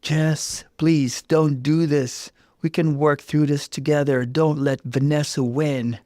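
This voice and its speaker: A man's voice: on the high side, steady tone, slow pace, warm tone, no room sound, clean studio sound, pitched above the performer's own voice. A man voicing an earnest accused fiancé in a story, indignant and pleading, with insistent, emphatic protests.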